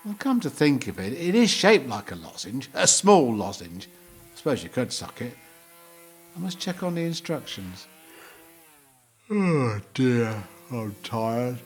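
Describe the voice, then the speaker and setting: Middle-aged husband, male actor, polite, pedantic and a bit slow, animated mid-range voice for comic audio drama. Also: mid-range voice, husky. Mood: mild, tired. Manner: hesitant, literal-minded, polite. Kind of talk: two-hander comic audio play dialogue.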